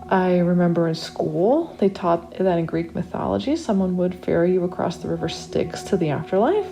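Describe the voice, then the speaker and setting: Horror audio story lead: woman playing a trapped accident victim, medium pitch, confiding, dramatic inner monologue, music underneath. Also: lightly acted. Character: trapped narrator waking after accident, wry then panicked. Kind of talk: first-person horror story reading. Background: original music.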